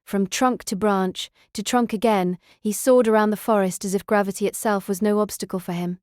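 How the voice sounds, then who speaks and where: A woman's voice: mid-range voice, darkish tone, dry recording, clean studio sound. A woman reading scripted audiobook narration of a story as its narrator.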